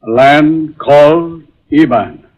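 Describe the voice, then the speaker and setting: An actor playing an excitable middle-aged learned father in 1940s radio horror, with formal, exclamatory, old-fashioned phrasing. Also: fairly high pitch, takes it slowly. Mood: assured. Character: excitable learned father, awed by strange sea wonders. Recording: muffled vintage 1940s radio recording.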